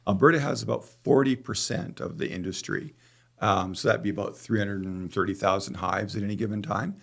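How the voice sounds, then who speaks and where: A man's voice: on the low side, a little fast, vocal fry, fairly bright tone. A middle-aged man with a Canadian accent, talking conversationally as a guest in an interview. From Calgary, Canada. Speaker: podcast guest, beekeeper.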